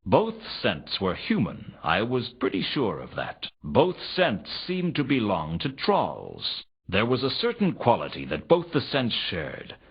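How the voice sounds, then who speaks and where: An actor voicing a world-weary hardboiled detective in a fantasy video game, with a sardonic, deadpan delivery in both dialogue and narration. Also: male voice, mid-range voice, breathy edge. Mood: musing. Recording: dull lo-fi game audio, faint noise.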